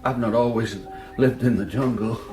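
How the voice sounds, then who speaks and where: An actor playing an earnest, lonely, restless backwoods settler, speaking in a halting, reflective way in an audio drama. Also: male voice, medium pitch, steady tone, roomy sound, music in the background, close to an everyday voice, poor audio. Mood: pleading, earnest.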